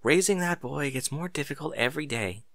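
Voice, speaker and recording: A man voicing a caring, harried mother in a storybook narration, gently coaxing and fretful. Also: fairly high pitch, animated, fairly quick, no echo, clean studio sound. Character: caring, harried mother, cheerful but worried. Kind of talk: audiobook character dialogue.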